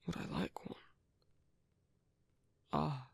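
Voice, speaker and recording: A man playing a gentle, shy British vampire priest in a soft, intimate ASMR roleplay, with breathy whispering and a hesitant, tender manner. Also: extremely slow, dry recording. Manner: hesitant, flustered, tender, formal wording.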